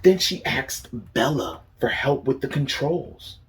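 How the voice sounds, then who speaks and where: A man's voice: medium pitch, very animated, takes it slowly, a bit bright, a little hiss or hum. A man reading a picture book aloud to young children as a teacher, asking them questions and praising their answers.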